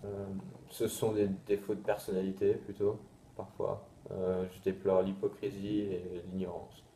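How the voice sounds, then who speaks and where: Brief: deep, gravelly, dark-toned young man from France, slow and flat, echoey room. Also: a little hiss or hum. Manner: hesitant, reflective, many fillers. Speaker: interviewee. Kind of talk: casual self-introduction.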